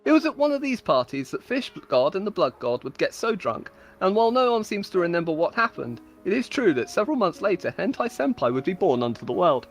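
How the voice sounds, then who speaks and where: A woman's voice: on the low side, big pitch swings, brisk, hardly any pauses, soft background music. A woman giving a playful, mock-solemn dramatic reading of her own comic stories, like a storyteller. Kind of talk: comedic dramatic reading of scripted tales.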